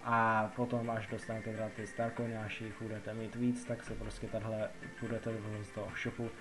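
A man's voice: on the low side, little pitch movement, poor audio. A young adult Czech man giving a casual game tutorial, explaining in a rambling, repetitive, colloquial way. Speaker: gaming video host.

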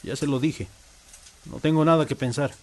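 A man playing an irritable, defensive, stubborn father in a radio soap, with a gruff, brusque Bolivian manner of speech. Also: on the high side, steady tone, normal speed, close to an everyday voice. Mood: defensive, irritated.

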